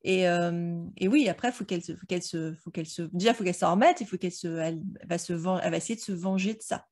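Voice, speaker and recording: A woman's voice: lowish voice, quick pace, darkish tone. Woman chatting casually, rambling storyteller. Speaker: book club podcast co-host. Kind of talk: casual discussion of an audio drama.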